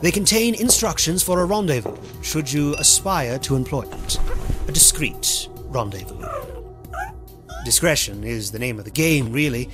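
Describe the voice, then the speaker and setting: A man's voice: a little high, lively intonation, music in the background, close to an everyday voice. Suave, formal male underground boss, acted by a man. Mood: guarded, courteous. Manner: formal, wordy, measured and politely menacing. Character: suave, secretive male animal leader of underground outfit. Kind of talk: dramatic comic fandub dialogue.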